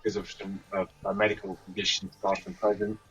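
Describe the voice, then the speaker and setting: Hesitant British man, fairly low voice. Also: completely flat delivery, fast talker, music in the background. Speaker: band member interviewee. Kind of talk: casual chat. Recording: slightly dull remote call audio.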